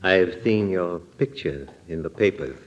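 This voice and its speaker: A very deep-voiced male killer speaks slowly and with eerie courtesy. He is hushed and menacing, heard on a muffled vintage radio recording. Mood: eerily polite. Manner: slow, formal, eerily polite. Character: soft-spoken menacing strangler who claims he is dead. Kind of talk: old-time radio drama acting.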